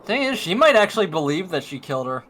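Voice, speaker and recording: A man's voice: high voice, sing-song, fast talker, fairly bright tone. A man giving casual commentary on a video game among friends.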